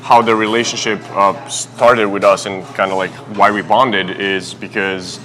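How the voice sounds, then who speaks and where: A young man from Serbia, a basketball player, answering interview questions in a halting way with many fillers and phrasing. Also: on the low side, flat delivery, soft background music. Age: young adult.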